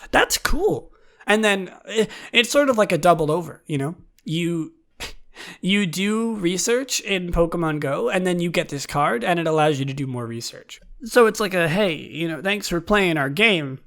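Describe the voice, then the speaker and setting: A man's voice: sits high, animated, a bit bright. Rambling man hosting a casual podcast. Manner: hesitant, rambling, halting delivery with repeated words. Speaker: podcast host. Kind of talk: casual news commentary for a fan podcast.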